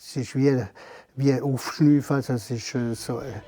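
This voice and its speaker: A man, a painter, talking haltingly in an interview and searching for words. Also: medium pitch, fairly even intonation. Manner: hesitant, searching for words, mixes in French terms.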